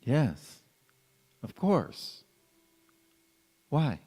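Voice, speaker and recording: Guy acting gentle wistful dad in a radio play, slow careful talker, roomy hall reverb. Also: medium pitch, wildly swooping pitch, slightly rough, full character voice. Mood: tender, wistful. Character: gentle, polite, wistful middle-aged father. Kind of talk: stage radio drama acting. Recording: echoey church hall.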